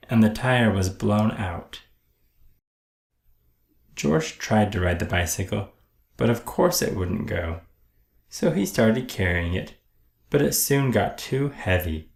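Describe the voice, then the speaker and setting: Male children's book narrator: lowish creaky voice, expressive and deliberate, recorded in an echoey room. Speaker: storyteller reading a picture book aloud. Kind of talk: children's read-aloud narration.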